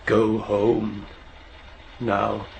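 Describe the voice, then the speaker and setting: A man's voice: lowish voice, flat delivery, very slow, vocal fry, darkish tone, slight background noise, exaggerated voice. An actor playing a stern, stubborn railway signalman father in a radio drama, with a terse, commanding manner. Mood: stern, urgent.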